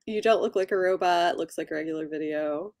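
A woman's voice: fairly low pitch, brisk, a little mellow, no room sound, studio quality. A young woman co-hosting a book livestream, chatting casually and reacting to viewers as she goes. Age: young adult. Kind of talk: casual chat, reacting to live comments. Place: small book-lined room at home, on a video call.

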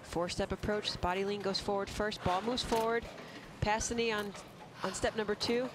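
High-voiced male analyst over noisy background. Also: flat delivery, moderate pace. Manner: technical, explanatory, breaks down each shot. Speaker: color commentator. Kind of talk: analytical sports commentary.

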